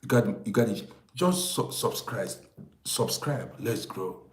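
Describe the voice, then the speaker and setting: A man's voice: on the low side, takes it slowly, a little hiss or hum. Emphatic man in his late fifties, echoey room. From Vienna, Austria. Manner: emphatic, repeats phrases insistently. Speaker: live-stream host and storyteller. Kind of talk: informal livestream talk to viewers.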